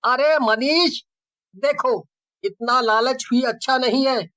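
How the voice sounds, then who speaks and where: A man's voice: very high voice, slow pace, pushed and bright, exaggerated voice. A man voicing a cautious, timid young village friend in a cartoon story, earnest and full of exclamation. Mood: cautioning.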